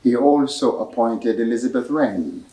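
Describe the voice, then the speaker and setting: A man giving measured, explanatory answers at a library book talk, pausing often with fillers. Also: mid-range voice, warm tone. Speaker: author and speaker at a book talk. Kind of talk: answering audience questions. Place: library event room. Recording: echoey room, voice from a distance.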